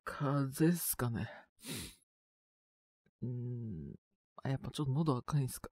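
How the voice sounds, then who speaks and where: A man playing a young student with a cold in a voice drama, husky and prone to coughing, speaking in a casual, hesitant, youthful way. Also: mid-range voice, lively intonation, measured pace, a little mellow, dry recording, clean studio sound. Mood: polite, hesitant. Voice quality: husky, with frequent coughing fits. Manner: casual youthful speech, trailing hesitant phrases. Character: young male student with a cold, polite, mildly exasperated. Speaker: voice actor. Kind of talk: one-sided situation voice drama.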